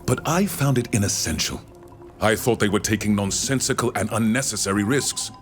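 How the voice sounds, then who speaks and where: A man playing a low-voiced, courteous older scholar. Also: natural voice. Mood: reflective. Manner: measured, formal, courteous. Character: learned older male naturalist, courteous and reflective. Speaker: scholar from the continent. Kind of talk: scripted video game dialogue. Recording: music behind the voice.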